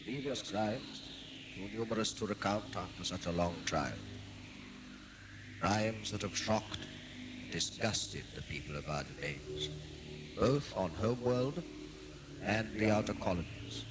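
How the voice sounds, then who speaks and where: Man acting a grave judge, deep voice, slow solemn delivery, portentous, sci-fi audio play, music behind. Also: lively intonation, background noise. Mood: stern, grave. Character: stern, grave male judge pronouncing doom. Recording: second-generation tape copy under music.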